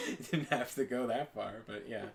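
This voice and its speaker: A man's voice: mid-range voice, lively intonation, unhurried, gravelly, slight background noise. A young man chatting casually as a podcast co-host, rambling with lots of fillers and restarts. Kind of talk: casual chat while gaming.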